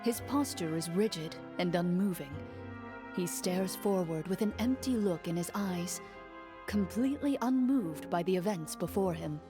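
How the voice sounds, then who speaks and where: A woman narrating a tabletop game as game master, calm and measured. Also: on the low side, music in the background, natural voice. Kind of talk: scripted narration.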